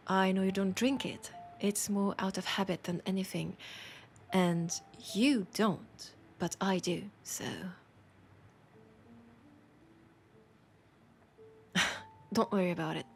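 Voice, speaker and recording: A woman with a French accent playing a warm, teasing, caring bookseller in an intimate roleplay audio, speaking softly and hesitantly with pauses. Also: mid-range voice, slight background noise, close to an everyday voice. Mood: light, playful. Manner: soft, hesitant, gently teasing, unhurried with pauses. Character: warm, teasing, worried mortal bookseller woman, fond of a vampire.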